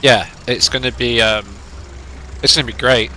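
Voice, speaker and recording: A man's voice: medium pitch, fairly even intonation, normal speed, sharp tone, slight background noise. Lancashire man streaming, nasal with a cold. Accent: northern English, Lancashire. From England. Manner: chatty and rambling, reads chat aloud. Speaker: game streamer. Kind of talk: casual live-stream chat with viewers.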